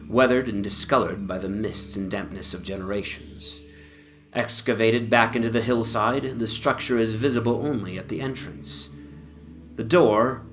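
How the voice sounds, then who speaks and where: A low male voice of an actor performing a haunted, reclusive dreamer from a gothic horror tale. He speaks with solemn, measured formality, brooding over every phrase. Soft music runs underneath the dramatic reading. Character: Jervas Dudley, haunted, reclusive dreamer recounting his obsession. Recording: narration over a music soundscape.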